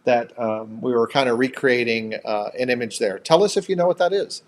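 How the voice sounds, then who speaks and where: A man's voice: medium pitch, a little fast. An American man co-hosting a casual live chat in a chatty, upbeat, playful manner. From upstate New York, United States. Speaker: host of a couple's travel live chat.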